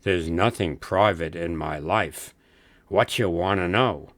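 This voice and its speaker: A man's voice: sits low, slow pace, fairly bright tone, dry recording. A man playing a casual, stubborn male census respondent, blunt and informal, in a scripted training-film role play. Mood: blunt, offhand.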